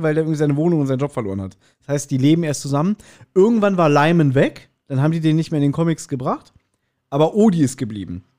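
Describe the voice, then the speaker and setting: A man's voice: fairly high pitch, a little mellow. A man, chatting casually and telling nostalgic anecdotes in a blunt, joking way as a podcast host.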